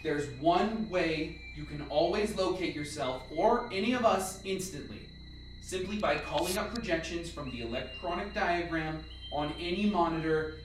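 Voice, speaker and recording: Guy acting as calm scientist explaining lab procedures, radio play, far from the mic, really echoey room. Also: fairly high pitch, moderate pace, a little hiss or hum, natural voice. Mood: matter-of-fact briefing. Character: calm, instructive male scientist leading a secret lab team. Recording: echoey room, distant mic, faint music.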